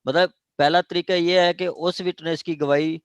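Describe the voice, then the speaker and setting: A man with a Pakistani accent giving an online law lecture, explaining haltingly with repetition and switching into English legal terms. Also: fairly high pitch, steady tone, smooth, no echo. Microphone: online class call.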